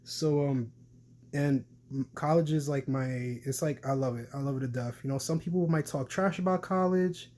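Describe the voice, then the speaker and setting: A man's voice: on the high side, moderate pace, a little mellow, slight background noise. A young man, talking casually to camera in a rambling way with many fillers and restarts. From New York City. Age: young adult. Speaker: vlogger.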